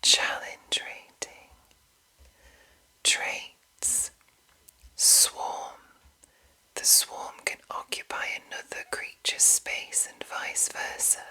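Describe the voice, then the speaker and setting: Woman, breathy ASMR whisper, slow deliberate delivery, crisp bright edge, close mic. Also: poor audio. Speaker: ASMR host reading a monster manual. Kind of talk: whispered ASMR reading with casual asides.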